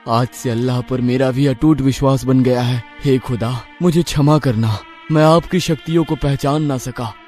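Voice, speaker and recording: An actor playing a poor, kind-hearted village husband with earnest, expressive delivery in a moral cartoon. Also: male voice, mid-range voice, lightly acted. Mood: devout, grateful. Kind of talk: Hindi moral cartoon dubbing.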